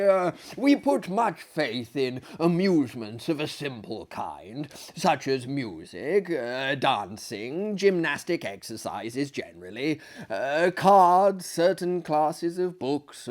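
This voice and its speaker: A man's voice: on the high side, sing-song, slow, few pauses. A man voicing a genial, pompous older asylum director in a theatrical story reading, lecturing with emphasis. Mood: pompous, expansive. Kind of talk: theatrical storytelling of a classic tale.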